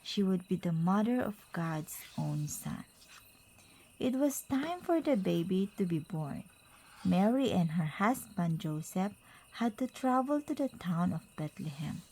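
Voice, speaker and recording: A woman reading a children's picture book aloud to a child, in simple, deliberate phrases with pauses. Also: fairly low pitch, sing-song, dark tone, breathy edge, poor audio.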